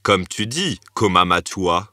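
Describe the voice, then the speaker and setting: A man's voice: low voice, expressive, slow, sharp tone, dry recording, studio quality, full character voice. A man playing a clever, brave adolescent boy hero in a fairy-tale cartoon, earnest in his delivery. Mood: confident. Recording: music behind the voice.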